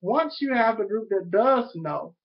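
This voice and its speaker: A young man talking earnestly and repetitively to his webcam from a small room at home, pitching an idea. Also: expressive, unhurried. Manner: earnest, repetitive, self-promoting. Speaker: vlogger. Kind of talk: rambling webcam monologue. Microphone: webcam at desk. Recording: dull lo-fi webcam audio.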